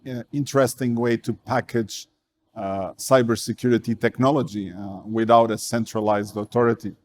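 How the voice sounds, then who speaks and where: A man from Portugal speaks as an interview guest in a low voice. His pitch barely moves, and he pauses often with "uh" as he works through his answers. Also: darkish tone. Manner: measured, with frequent "uh" fillers. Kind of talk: casual interview talk.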